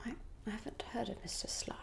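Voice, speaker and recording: A woman voicing a genteel, gossipy Regency lady in a soft ASMR roleplay whisper, musing politely and hesitantly. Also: fast talker, close to an everyday voice. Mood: polite, pondering. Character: genteel, gossipy young Regency lady planning a matchmaking guest list.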